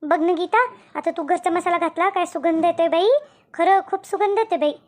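A woman voicing a brisk, bossy yet friendly village woman in a comedy cartoon, chatty and repetitive, with a Maharashtrian accent. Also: extremely high pitch, steady tone. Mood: friendly, insistent. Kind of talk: Marathi comedy cartoon dialogue.

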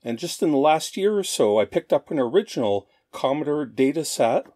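A middle-aged man giving an informal show-and-tell narration as a host, explaining things in a measured way. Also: a little high, lively intonation, dark tone, no room sound, clean studio sound. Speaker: host of a retro computing show.

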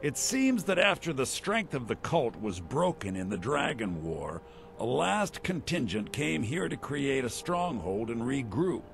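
A gravelly imperial captain in a fantasy game, formal and commanding, voice swings a lot. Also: male voice, mid-range voice, big pitch swings, a little slow, soft background music. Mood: grave, explaining. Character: earnest Imperial army captain on a war mission. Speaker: game character. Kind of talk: scripted fantasy game dialogue.

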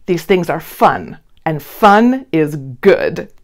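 A woman, around her thirties, talking and reading to young children in an expressive, emphatic way. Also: lowish voice, slow. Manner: animated, emphatic, addressing children directly. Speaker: children's read-along host. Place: indoor room, seated before a plain blue wall.

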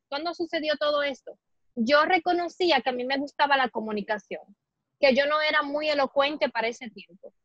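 A woman's voice: sits high, a bit bright, no echo. A Dominican woman in her twenties with a Cibao accent, a guest answering interview questions earnestly and reflectively over a video call. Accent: Dominican Spanish, Cibao. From Dominican Republic. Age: 20s. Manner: earnest, reflective, many fillers. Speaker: guest, fitness model. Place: small room indoors. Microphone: laptop or phone mic on video call. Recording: muffled video call audio.

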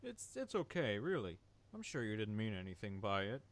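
Lilting, polite guinea pig. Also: male voice, fairly low pitch, sing-song, moderate pace, slight background noise. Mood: hesitant, kindly. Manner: gentle, hesitant, courteous. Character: polite, naive, kindly male guinea pig, trusting. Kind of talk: cartoon adventure game voice acting.

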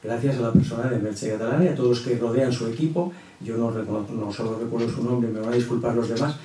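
A man's voice: mid-range voice, fairly even intonation, quick pace, mellow. A middle-aged man from Spain with a Castilian accent, opening a public lecture in a conversational way with frequent tag questions. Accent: Castilian Spanish. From Zaragoza, Spain. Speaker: university professor, guest lecturer. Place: lecture room at a table. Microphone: gooseneck table mic, distant pickup. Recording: echoey hall, distant microphone.

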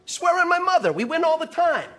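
A man's voice: very high voice, big pitch swings, a little fast, fairly bright tone, exaggerated voice. A man playing an easygoing, boastful American goon chatting casually in a video game. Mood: insistent, boastful.

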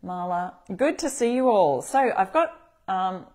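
A middle-aged Australian woman hosting a live craft tutorial, chatting with viewers and greeting them by name from a table in a bright room. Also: mid-range voice, sing-song, measured pace. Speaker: craft demo host.

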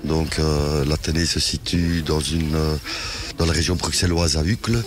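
A man, a school educator giving a halting interview outdoors, often repeating words. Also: very deep voice, fairly even intonation. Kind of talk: on-camera interview. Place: outdoors in a garden courtyard. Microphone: handheld interview microphone.

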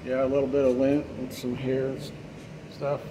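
Plumber explaining drain work, flat voice. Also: male voice, medium pitch, moderate pace, background noise. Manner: relaxed, explanatory, trails off with fillers. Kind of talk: casual how-to commentary while working. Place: basement utility area, indoors.

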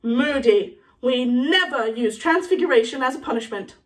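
A woman's voice: lively intonation, normal speed, recorded from a distance. A woman voicing a proper female professor from a storybook, flustered, indignant and scandalised. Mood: flustered protest. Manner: high, breathless, scandalised.

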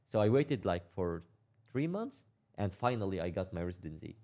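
A young man from Syria telling his life story in a casual interview, speaking in a halting way, repeating words and laughing as he talks. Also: deep voice, sing-song, warm tone. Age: young adult. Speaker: interview guest.

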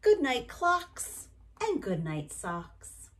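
Slow, melodic woman reading a bedtime story. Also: a little high, wildly swooping pitch. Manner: gentle, deliberate bedtime delivery with pauses. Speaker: bedtime story reader and host. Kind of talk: reading a picture book aloud to young children. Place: small bright room at home, indoors.

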